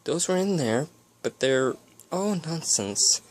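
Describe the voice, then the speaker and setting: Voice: medium pitch, expressive, takes it slowly, a little mellow, voice pushed up. A reader voicing a studious, earnest young female pony princess in a storybook, explaining carefully.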